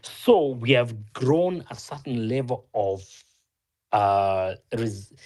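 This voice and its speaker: Kenyan male panel guest, husky, earnest, stop-start delivery. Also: mid-range voice, slow pace, darkish tone. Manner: earnest, many restarts and self-corrections, rising emphasis when arguing. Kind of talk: opinionated discussion.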